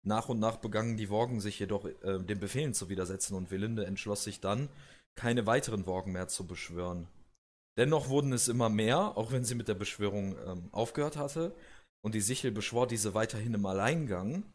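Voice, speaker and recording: A man's voice: on the low side, brisk. A man with a cold, casually explaining video game lore as host, with many hesitations and restarts. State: has a cold.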